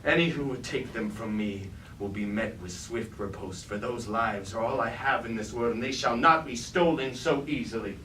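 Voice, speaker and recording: An actor playing a fallen robot warlord on stage, grandiose and commanding, in formal, declamatory tragic verse. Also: male voice, medium pitch, moderate pace, few pauses, fairly bright tone, lightly acted. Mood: proud, defiant. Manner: declamatory, archaic formal speech. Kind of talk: staged reading of mock Greek tragedy. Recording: roomy, distant mic, clear background noise.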